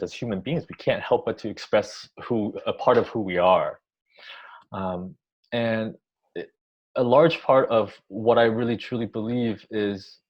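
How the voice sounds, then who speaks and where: Soft-spoken, halting man, lo-fi. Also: on the low side. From Taiwan, raised in the US. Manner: thoughtful, halting, many restarts and ums. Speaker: orchestra music director. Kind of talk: reflective interview answers. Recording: dull lo-fi sound.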